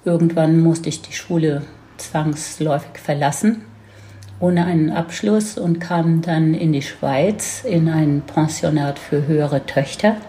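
A woman's voice: deep voice, mellow, slight background noise. A woman in her seventies with a Baden accent, a grandmother unhurriedly telling her life story on a podcast. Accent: Baden regional German. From near Heidelberg, Germany. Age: 70s. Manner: reminiscing, unhurried, with laughs. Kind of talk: casual storytelling.

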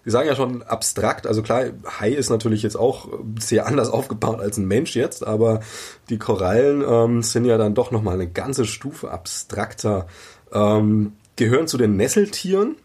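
Husky low-voiced male podcast host. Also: expressive, normal speed, a little mellow. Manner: loose, chatty, filler-heavy questions. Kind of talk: casual interview moderation.

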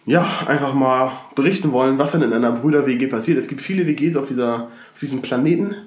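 A man co-hosting a casual podcast from his shared flat, slightly congested from a cold, rambling with many fillers and restarts. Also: medium pitch, quick pace, echoey room. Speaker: podcast co-host. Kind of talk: casual chat.

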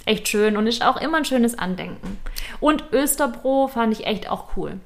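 A woman's voice: on the high side, expressive, moderate pace, creaky. A woman co-hosting a fashion podcast, telling shopping stories in a chatty, self-deprecating way. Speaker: podcast co-host. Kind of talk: casual chat.

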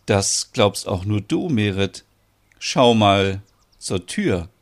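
Male reader as skeptical male housemate, dry, teasing, easygoing, unhurried, audio story. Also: lowish voice, sing-song, exaggerated voice. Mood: knowing.

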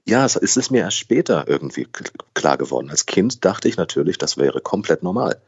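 A young man in his late twenties speaks in a very deep voice. He answers interview questions thoughtfully, pausing and correcting himself as he goes. The recording sounds a little muffled. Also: sing-song, husky. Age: 20s. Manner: thoughtful, restarts and self-corrections. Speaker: guest. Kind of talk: conversational interview.

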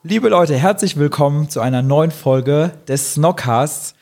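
A man hosting a podcast in a chatty, friendly way, slightly hoarse from a cold. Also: fairly high pitch, unhurried, darkish tone. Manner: chatty, friendly, fillers like "gell" and "ne". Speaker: podcast host. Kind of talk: casual podcast chat.

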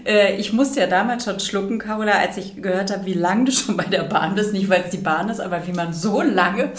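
A woman's voice: medium pitch, fairly quick. A woman from Berlin speaking German as the host of an interview podcast, introducing and questioning her guests.

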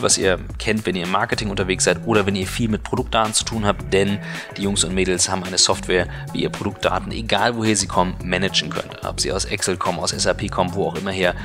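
A German man reading an informal podcast sponsor message in a chatty way, speaking directly to listeners. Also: fairly low pitch. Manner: chatty, personal address to listeners. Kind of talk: informal host-read podcast advert. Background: music.

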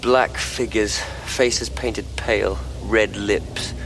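An actor playing a sardonic, excitable policeman and war veteran in audio drama dialogue. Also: lowish voice, slow pace, vocal fry, soft background music, exaggerated voice, rough recording. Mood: tense, observing.

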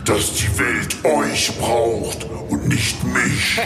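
An evil, crazed virus overlord in a comic radio play. He speaks very slowly in drawn-out, taunting threats, with a spiteful edge. The voice echoes around a room while loud music plays. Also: mid-range voice. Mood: menacing, offended. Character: evil mad virus ruler, menacing, spiteful. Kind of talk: comic audio play acting. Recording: roomy echo under loud music.

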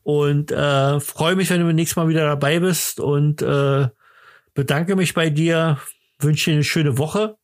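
A middle-aged man with a Berlin accent hosts a casual podcast. His voice sits a little high for a man. He rambles in a jokey way, often stopping and starting as he looks for the word. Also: steady tone, a little slow, dry recording, clean studio sound. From Berlin, Germany. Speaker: podcast host.

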